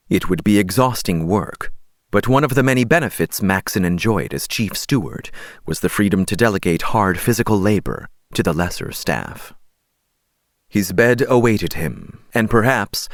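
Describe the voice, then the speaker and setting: Low-voiced male audiobook narrator, dry sound. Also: no room sound. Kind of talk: scripted fantasy story narration.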